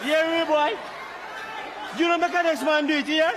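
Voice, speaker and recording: Woman acting very high, bawdy Jamaican loudmouth. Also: fairly even intonation, moderate pace, close to an everyday voice. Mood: bawdy, emphatic. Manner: loud, repetitive, emphatic. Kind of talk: comedic stage play acting. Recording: loud audience crowd, noisy stage capture.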